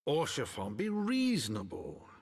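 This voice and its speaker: A man's voice: medium pitch, wildly swooping pitch, unhurried, creaky, darkish tone, breathy edge, heavily acted. A man playing a stern, weary middle-aged noble lord and father in a fantasy game, grave and admonishing in formal archaic speech. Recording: long hall reverb.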